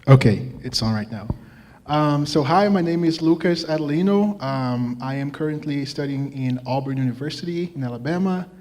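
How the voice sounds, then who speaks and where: A young man from Brazil with a Brazilian Portuguese accent, speaking as a graduate student panelist in relaxed, casual talk with frequent fillers. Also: a little high, moderate pace. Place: conference hall at a college. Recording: hall reverb.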